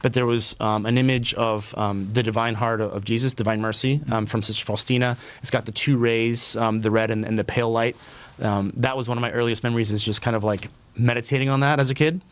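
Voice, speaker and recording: A man's voice: mid-range voice, a little fast, smooth. A man in his thirties from Puerto Rico, a podcast guest talking casually with lots of ums and restarts. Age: 30s. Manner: relaxed, many fillers and restarts. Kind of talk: casual conversational interview.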